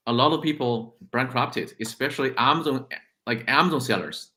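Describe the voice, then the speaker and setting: A man's voice: mid-range voice, bright, forceful tone. A man, a guest who runs a shipping company, explaining things step by step in a casual interview with repeated words and fillers. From China. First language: Chinese.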